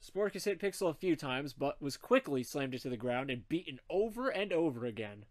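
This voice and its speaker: A man narrating fan fiction in an amateur comedic group reading, animated and dropping wry asides. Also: on the high side, a little fast.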